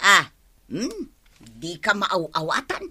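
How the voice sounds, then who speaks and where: A woman's voice: medium pitch, sing-song, takes it slowly, bright, forceful tone, slightly rough, heavily acted. A woman with an Ilocano accent playing a nosy but obliging village woman in a radio comedy drama, chatty and full of questions. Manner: questioning, conversational.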